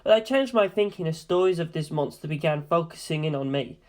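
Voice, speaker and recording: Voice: medium pitch, animated, fairly quick, a little mellow. A narrator reading a scripted first-person story for an audiobook.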